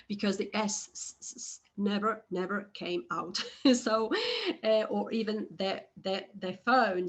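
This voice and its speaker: A woman's voice: mid-range voice, a bit bright. A woman talking as a podcast guest in a casual interview, hesitant, with repeated words and frequent fillers.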